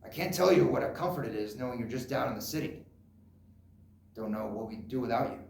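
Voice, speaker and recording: Young man playing a creaky, stubborn old father. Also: medium pitch, expressive, brisk, darkish tone. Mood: lonely, complaining. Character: proud, stubborn elderly father, nearly eighty, lonely and dismissive. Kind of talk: solo dramatic interpretation on stage. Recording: roomy, echoing live recording.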